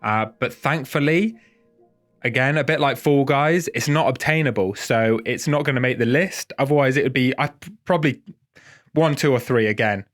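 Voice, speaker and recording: A man's voice: medium pitch, moderate pace, fairly bright tone, soft background music. A man with a head cold and a nasal voice, chatting casually and self-deprecatingly as host of a gaming video.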